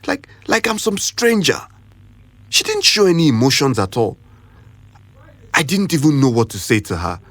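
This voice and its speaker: Audio drama: wounded, touchy young Nigerian man, husky voice, dry recording with faint music. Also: medium pitch, very animated, normal speed, pauses often, no echo. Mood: hurt, brooding. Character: hurt, defensive young man troubled over his girlfriend.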